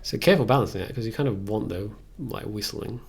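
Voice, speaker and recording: A man in his thirties casually thinking aloud on a live tutorial stream in a halting, musing way. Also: on the low side, gravelly, over loud music. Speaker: live streamer demonstrating synthesizer software. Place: indoor room at a computer desk. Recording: faint background noise.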